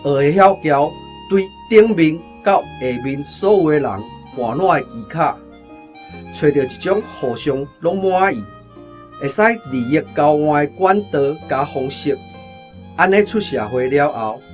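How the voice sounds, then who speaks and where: An actor playing a brash, lazy veteran soldier with a Taiwanese accent, boastful and offhand, in a radio drama. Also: male voice, a little high, expressive, a little slow, faint music behind. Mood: self-assured. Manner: cocky, offhand.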